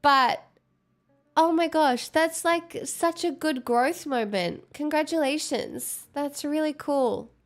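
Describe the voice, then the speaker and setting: A woman's voice: high voice, slow pace. Stuffed-up chatty woman streamer. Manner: giggly, chatty, self-mocking. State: stuffed up with a cold. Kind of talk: casual chat with viewers.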